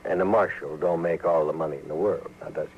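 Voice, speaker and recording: Crooked frontier sheriff, folksy menace, dull and faintly hissy old radio recording. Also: male voice, rumbling low, steady tone, brisk. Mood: wheedling. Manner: folksy, slyly threatening. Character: corrupt, smooth-talking, menacing frontier sheriff. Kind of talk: old-time radio western acting.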